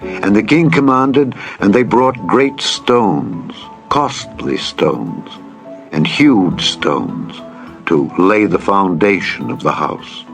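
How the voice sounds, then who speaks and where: A man with a fairly low voice narrates scripture over faint background music. His delivery is scripted and dramatized, with solemn, deliberate phrasing that sets each clause apart. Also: lively intonation, creaky. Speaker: narrator, Bible reader.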